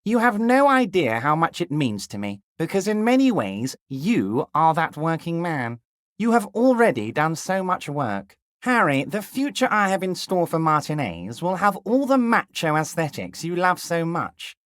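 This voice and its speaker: A smug scheming union boss. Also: male voice, sing-song, normal speed, dry recording, studio quality. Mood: smug, ingratiating. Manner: smooth and ingratiating, drops low and soft on pet names; blunt and crude at times. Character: scheming jovial male union boss, middle-aged. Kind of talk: video game character dialogue.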